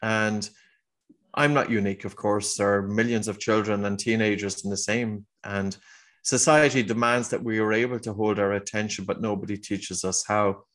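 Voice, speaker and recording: A middle-aged man with an Irish accent answers interview questions in an earnest, explanatory way. His voice sits a little low, and he sometimes restarts a phrase as he thinks. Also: level tone, normal speed, a bit bright. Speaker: guest, breathing teacher.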